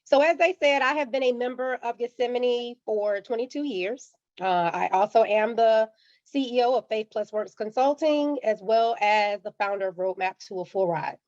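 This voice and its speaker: A woman with a slightly raised pitch and a touch of rasp from being under the weather, walking listeners through a finance lesson like a teacher. She pauses to check in with a quick "okay?". The sound is dry and a little muffled, like a video call. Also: darkish tone, no echo. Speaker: guest speaker on personal finance. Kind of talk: church community finance presentation.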